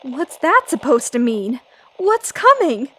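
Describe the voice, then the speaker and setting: A woman playing a pert, inquisitive young radio co-host in a radio drama, quick to retort. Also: high-pitched, sing-song, a bit bright, slight background noise, heavily acted, poor audio. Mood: urgent, probing.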